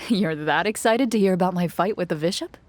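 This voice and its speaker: An actress playing a composed, reserved young champion duelist in a video game, speaking in a calm, measured, thoughtful way. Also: female voice, on the low side, slight background noise, lightly acted. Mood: mildly amused.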